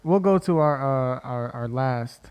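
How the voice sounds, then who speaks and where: Young male podcast host, mid-pitched, informal and playful while moderating. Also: animated, a little slow, muffled recording, a little hiss or hum. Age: young adult. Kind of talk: casual hosting, joking.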